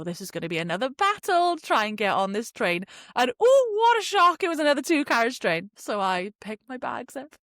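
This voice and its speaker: Ożywiona walijka opowiadająca anegdotę. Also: female voice, sits high, dry recording, clean studio sound. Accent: Welsh. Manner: animated, laughs often, swears freely. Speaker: podcast host. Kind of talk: casual storytelling monologue.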